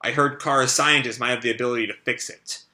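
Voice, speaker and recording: A man reading aloud a comic, voicing a calm, skeptical adult male strategist in a measured, dry way. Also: medium pitch.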